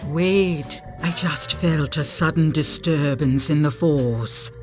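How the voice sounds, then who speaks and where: A mysterious voodoo seer for a pirate video game. Her voice is low and measured, with a knowing, mystical air. Faint music plays behind her. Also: a little mellow. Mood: knowing, ominous. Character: mysterious, knowing female voodoo fortune teller.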